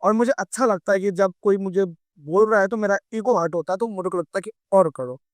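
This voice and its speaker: A woman bodybuilder with a naturally deep, heavy voice, speaking bluntly and defiantly as an interview guest. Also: a little fast. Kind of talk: candid interview talk.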